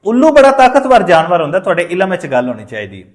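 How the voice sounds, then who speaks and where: A man's voice: high-pitched, wildly swooping pitch, fast talker. A man with a Punjabi accent telling a moral story aloud, emphatically repeating phrases for effect. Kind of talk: oral moral storytelling with acted dialogue.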